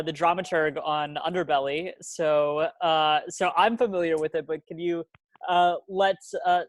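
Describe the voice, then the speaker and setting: A young man, a student journal co-editor, hosting an interview hesitantly with many pauses. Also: a little high, dry recording. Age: young adult. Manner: hesitant, frequent uh fillers.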